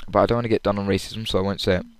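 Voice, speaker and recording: A man with a fairly low, clean voice chats casually to himself on a personal audio log. He rambles through his story in an informal way, and the recording is close and dry with little room sound. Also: steady tone, rapid-fire, no echo. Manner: rambling, acts out quoted lines loudly. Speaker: audience member recapping a comedy show.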